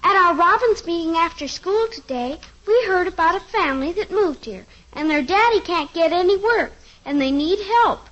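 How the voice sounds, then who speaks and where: Very high-pitched little girl, eager and chirpy, old radio sound. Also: expressive, normal speed, few pauses, a bit bright. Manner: chirpy, pleading. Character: young girl Kathy, eager and earnest. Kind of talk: 1950s radio sitcom acting. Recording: dull lo-fi old radio transcription.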